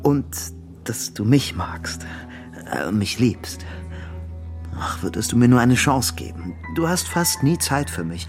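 A man's voice: mid-range voice, sing-song, slow pace, faint music behind. An actor playing a lovesick, insistent man in a German radio play, speaking in a hesitant, pleading way. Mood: wounded, urgent.

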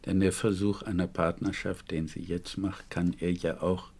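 A man conducting a documentary radio interview, putting his questions attentively and deliberately in careful, measured pieces. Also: low-pitched, flat delivery, creaky, a little mellow, husky.

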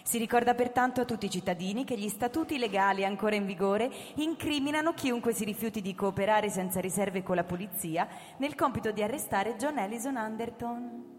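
Lady doing a stern official radio announcer, highish voice, formal bulletin, echoey hall. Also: fairly quick, hardly any pauses, lightly acted. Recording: reverberant hall.

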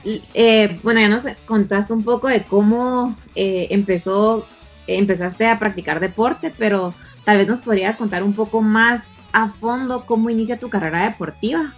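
A cheerful woman co-host with a slightly breathy voice. Her sound is dull and lo-fi, with faint music behind it. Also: mid-range voice, normal speed.

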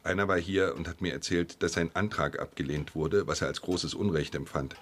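Male actor as office official, very deep voice, formal, firm, radio play, faint noise. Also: steady tone, normal speed, lightly acted. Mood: grave, concerned. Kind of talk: German radio play acting.

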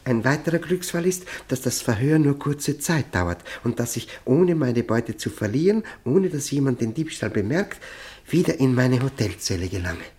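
A man's voice: medium pitch, animated, normal speed, a little mellow, lightly acted. An actor as a desperate male prisoner narrating a radio play. His delivery swings widely in melody, intense and obsessive. He halts and repeats words as he recounts his confinement. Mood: tense, secretive. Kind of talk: German radio play, dramatic first-person narration.

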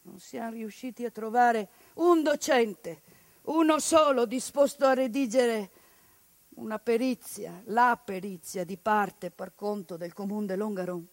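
A woman delivering an impassioned theatrical monologue, building rhetorical lists and dropping into hushed bitterness. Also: fairly high pitch, a little slow, no echo. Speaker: stage actress.

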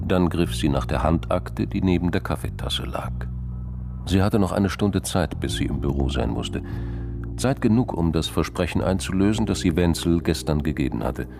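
A man with a gravelly voice narrating a scripted crime drama. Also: a bass voice.

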